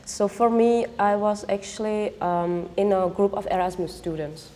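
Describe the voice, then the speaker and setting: A woman's voice: mid-range voice, flat delivery, normal speed, dark tone. A woman with a Czech accent and Vietnamese as her native language, talking thoughtfully as a panel guest and often repeating words as she searches for them. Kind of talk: panel discussion. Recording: long hall reverb.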